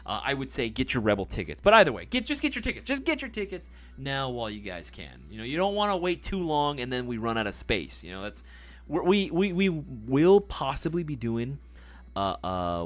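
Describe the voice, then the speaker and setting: A man's voice: medium pitch, a bit bright. A man hosting a live stream in casual chat, reading viewer comments, rambling with many fillers and casual swearing.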